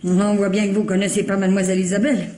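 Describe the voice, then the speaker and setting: An actress playing a good-natured, plain-spoken household cook, colloquial and full of interjections, in a French radio mystery. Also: female voice, mid-range voice, flat delivery, rapid-fire, dark tone, close to an everyday voice. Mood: chatty, matter-of-fact. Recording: roomy, echoing sound.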